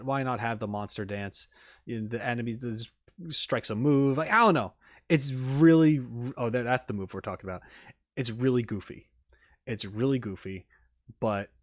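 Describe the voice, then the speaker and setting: A man talks through his reactions as a casual video host, in an average-pitched voice recorded close and dry. He rambles haltingly, interrupting himself and leaving thoughts unfinished before picking them up again. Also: dry recording. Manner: halting, self-interrupting, trailing off mid-thought; casual swearing. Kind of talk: casual rambling game-trailer commentary.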